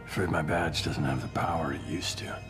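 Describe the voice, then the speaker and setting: Gruff tired detective, dry hard boiled game delivery, music loud behind him. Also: male voice, a bass voice, fairly even intonation, brisk. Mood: weary, bitter. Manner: sardonic, terse, hard-boiled. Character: gruff, defiant, world-weary male police detective. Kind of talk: video game cutscene acting with noir narration.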